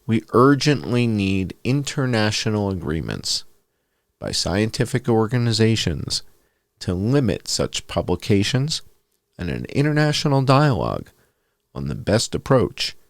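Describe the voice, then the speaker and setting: A man with a low voice narrates a written piece as bedtime reading. His pitch swings widely as he goes, and the sound is close and dry, with very little room. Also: creaky, dry recording. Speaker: host reading an essay aloud. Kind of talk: scripted narration, read aloud as bedtime story.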